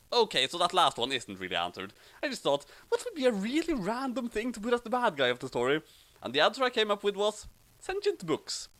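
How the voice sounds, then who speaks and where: A man's voice: high-pitched, a bit bright. A man giving casual commentary as the author of a comic story.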